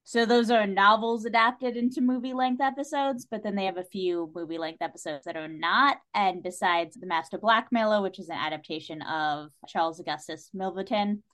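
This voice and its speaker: A woman in a casual podcast discussion, speaking at a medium pitch. She is opinionated and animated, with a wry edge to how she puts things. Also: normal speed, few pauses. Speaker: podcast guest.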